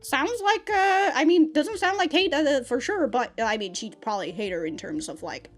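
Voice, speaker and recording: A woman's voice: sits high, moderate pace, soft background music. Chatty young woman reviewing an anime. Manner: rambling, animated, laughs often, many restarts. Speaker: anime reaction host.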